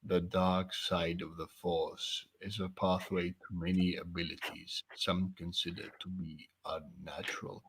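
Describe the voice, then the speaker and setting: A man voicing a scheming old galactic emperor in a deep, low, menacing rasp. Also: little pitch movement, a little slow, hardly any pauses, fairly bright tone, heavily acted, poor audio.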